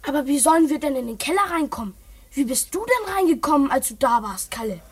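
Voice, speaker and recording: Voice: sits high, animated, fast. An actor playing a practical, doubting child friend in a German children's radio play, questioning and plain-spoken. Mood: impatient.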